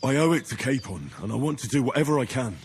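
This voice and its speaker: A man voices a young wounded man, sincere and polite. His voice sits at an ordinary middle pitch, steady and unadorned, over faint rural background sound. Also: fast, slight background noise, lightly acted. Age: young adult. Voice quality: earnest, courteous. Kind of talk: video game dialogue.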